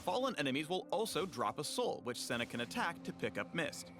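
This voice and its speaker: A man's voice: on the high side, sing-song, fairly quick. A man narrating a game spotlight in a scripted promotional style. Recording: music bed behind narration.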